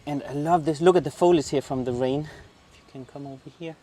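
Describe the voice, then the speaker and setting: A man's voice: on the high side, fast talker, mellow. Enthusiastic Danish-accented man outdoors. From southern Denmark. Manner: enthusiastic, exclaims over plants. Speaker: garden show host. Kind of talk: casual garden tour chat. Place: outdoor garden.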